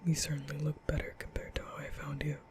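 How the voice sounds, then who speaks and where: Man playing a breathy, nervous young vampire. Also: on the high side, monotone, a little mellow. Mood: teasing. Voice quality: breathy, half-whispered. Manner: hesitant, stammering, slow. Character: nervous, caring young male vampire, awkward and gentle. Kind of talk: intimate ASMR boyfriend roleplay.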